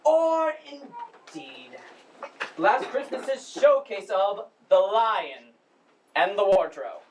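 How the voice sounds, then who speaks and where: A woman's voice: medium pitch, big pitch swings, extremely slow. A woman playing a pompous, earnest drama society director giving a formal comic welcome speech on stage. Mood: proud, formal. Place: school showcase stage, recorded from the audience. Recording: noisy, distant stage recording with clicks.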